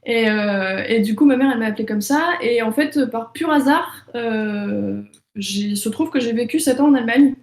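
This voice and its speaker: A woman's voice: a little high, moderate pace, roomy sound. A young French woman, an illustrator, chatting casually in an interview with many fillers and restarts. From France. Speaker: illustrator and author.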